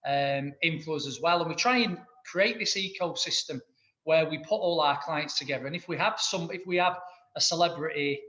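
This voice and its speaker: A man's voice: a little high, moderate pace, sharp tone, muffled recording. A man from Manchester with a Mancunian accent, an accountant answering interview questions enthusiastically, rambling with many restarts. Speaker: guest, accountant and business owner.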